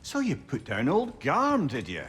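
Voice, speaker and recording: An actor playing an old, sardonic talking head who swears freely. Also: male voice, medium pitch, wildly swooping pitch, fairly bright tone, slight background noise. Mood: wry. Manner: sardonic, swearing, quick-witted.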